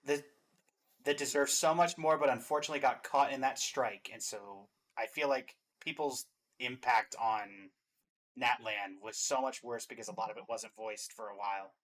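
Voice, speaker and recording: Male co-host for a reaction show, medium pitch, informal conversational delivery. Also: normal speed, fairly bright tone. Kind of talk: casual reaction chat.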